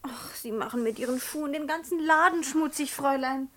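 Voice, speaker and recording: A woman's voice: sits high, brisk, a bit bright. An actress playing a pert, world-weary saleswoman in a 1960s radio play, blunt and chatty under her shop manners. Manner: polite to customers, blunt and chatty otherwise.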